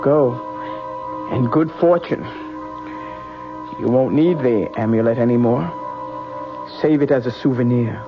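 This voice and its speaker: An actor playing a grave, brooding fortune teller in an old-time radio drama, speaking in a deliberate, ominous way. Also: male voice, medium pitch, lightly acted. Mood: ominous, solemn. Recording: dull old radio recording, music behind.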